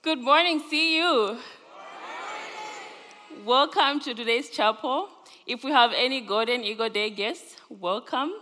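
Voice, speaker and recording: A woman's voice: on the high side, unhurried, long pauses, sharp tone, slight background noise. A young woman from Zambia, a senior student, giving an earnest chapel sermon with light laughs. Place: large chapel hall. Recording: live chapel hall with long reverb.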